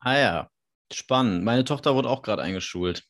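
A man's voice: mid-range voice, expressive, moderate pace, fairly bright tone. A man in his thirties hosting a casual interview over a video call, chatty and curious. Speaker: podcast host.

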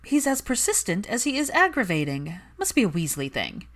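A woman voicing a haughty, sharp-tongued young witch with a huffy, dramatic manner in a story reading. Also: medium pitch, wildly swooping pitch, moderate pace, voice pushed up. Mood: grudging.

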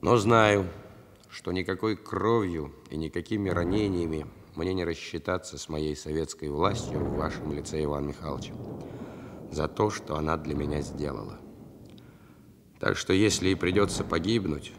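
Very deep-voiced solemn soldier. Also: male voice, moderate pace. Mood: solemn, grateful. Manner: solemn, heartfelt. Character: earnest, grateful male wartime army officer. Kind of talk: radio play letter monologue. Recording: clearly noisy background ambience.

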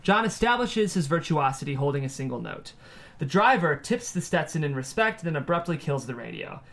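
A man's voice: on the high side, sing-song, brisk, vocal fry, a bit bright. A man reading scripted stage directions as the narrator of a screenplay table read.

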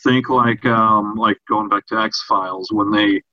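A man's voice: on the low side, fairly even intonation, unhurried, bright, forceful tone, no echo, lo-fi sound. A man chatting casually as a podcast co-host. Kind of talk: casual chat about fiction series.

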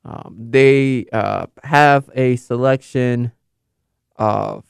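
A man's voice: medium pitch, drawn-out words, vocal fry, clear, resonant tone, dry recording, clean studio sound. A young man in casual, unscripted commentary, rambling and correcting himself with many fillers. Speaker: football video host.

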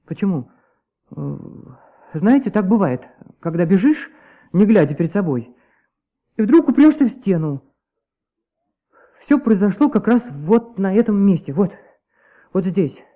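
An actor playing a nervous young choirboy giving testimony in a Soviet radio play, hesitant and stammering, often repeating himself. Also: male voice, high voice, animated, measured pace, long pauses, dark tone. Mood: anxious, earnest.

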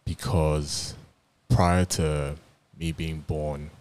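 A man with a very low, deep voice talks easily with a friend on a podcast. He is from Nigeria, and he jokes and swears casually as he tells stories about names and family. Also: little pitch movement, extremely slow, darkish tone. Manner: laid-back, joking, swears casually. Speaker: podcast co-host. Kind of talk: casual chat.

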